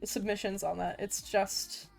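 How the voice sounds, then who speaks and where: Young woman, stutters and restarts, slow unhurried chat, faint music behind. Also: medium pitch, little pitch movement, creaky. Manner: stutters and restarts often, self-deprecating. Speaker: VTuber art streamer. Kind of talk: casual live-stream chat while drawing.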